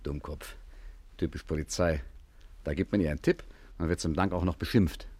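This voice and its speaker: An actor playing a cool, commanding gangster boss in a 1950s German radio crime satire. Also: male voice, sits low, moderate pace, close to an everyday voice. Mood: mock offended. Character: cool, cocky gentleman gangster boss, ordering his crew around.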